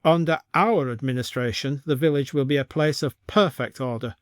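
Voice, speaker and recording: A man's voice: medium pitch, moderate pace, no echo, clean studio sound, close to an everyday voice. A man voicing a stern old village woman in an audio story, a matter-of-fact, imperious and coldly menacing ringleader. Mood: cold command.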